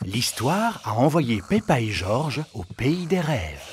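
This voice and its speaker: Very animated male cartoon narrator. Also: medium pitch, slow pace, slight background noise. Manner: storybook-like, expressive. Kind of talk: scripted children's cartoon narration.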